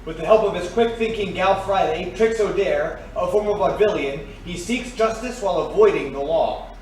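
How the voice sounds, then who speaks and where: Casting: male actor as earnest vintage radio pitchman, high-pitched and declamatory, drenched in echo. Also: normal speed, natural voice. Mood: showy, welcoming. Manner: declamatory, salesmanlike. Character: earnest old-time radio announcer pitching a sponsor. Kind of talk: 1940s radio announcer acting. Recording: very echoey, distant, faint noise.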